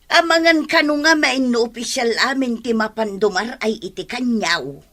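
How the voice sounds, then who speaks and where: A woman's voice: fairly high pitch, moderate pace, fairly bright tone. A woman with an Ilocano accent playing a pushy, practical village wife in a radio comedy drama. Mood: chatty. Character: pushy, practical village wife urging her husband along.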